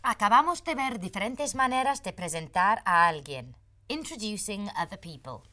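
A woman's voice: mid-range voice, lively intonation, a little fast, pushed and bright. A woman co-hosting a bilingual English lesson, playful and teasing with her partner as she models English phrases. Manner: playful, teasing banter with co-host. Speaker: language teacher co-host.

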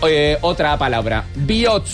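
A man from Spain's Basque Country presenting a playful, casual lesson, animated and teasing as he puts questions to his listeners. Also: on the high side, slow pace, soft background music. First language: Spanish and Basque.

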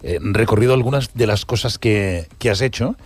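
Male interview host, raspy voice, slightly low pitch, engaged and easily amazed. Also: normal speed. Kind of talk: conversational interview, switching between two languages.

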